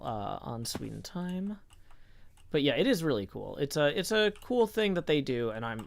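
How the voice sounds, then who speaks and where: A man's voice: medium pitch, vocal fry. A man live-streaming a game, chatting in a rambling, casual way and answering his viewers as he plays. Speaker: livestreamer. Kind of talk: casual live-stream chat while playing.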